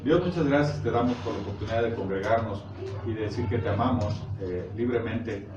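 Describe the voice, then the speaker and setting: A man with a Mexican accent preaching informally and explaining scripture in a conversational, halting way with rhetorical check questions. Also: fairly low pitch. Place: echoey indoor congregation room. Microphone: distant microphone. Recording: echoey, noisy, muffled room recording from far off.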